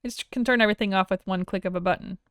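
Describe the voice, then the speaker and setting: A woman narrating an informal software tutorial in a plain-spoken, explanatory way. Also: mid-range voice, rapid-fire, dry recording. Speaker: audio software instructor.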